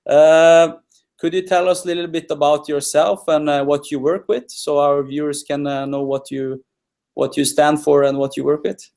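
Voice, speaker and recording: A man hosting an interview over a video call, asking questions with many pauses and fillers. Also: on the high side, flat delivery, gravelly, a little mellow. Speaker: interview host.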